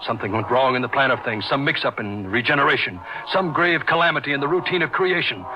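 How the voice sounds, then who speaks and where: A man's voice: mid-range voice, fast talker. Man acting a lonely otherworldly genius, muffled radio recording. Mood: confiding, intense. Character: brilliant, lonely, otherworldly young surgeon, intense and superior. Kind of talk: old-time radio horror acting. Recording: muffled radio drama with background hiss.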